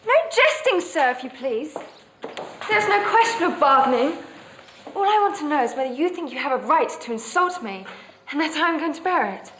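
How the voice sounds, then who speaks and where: A woman's voice: sits high, animated, normal speed, fairly bright tone, a little hiss or hum. A woman playing a young, coquettish and indignant lady in a dramatized memoir, with emphatic, haughty period speech. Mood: reproachful.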